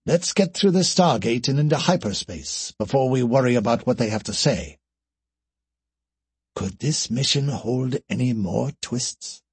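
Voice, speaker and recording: A man voicing a clawed male alien captain of a warship, deliberate and authoritative, in a science fiction audiobook. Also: medium pitch, lively intonation, a little mellow, breathy edge, dry recording. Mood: commanding.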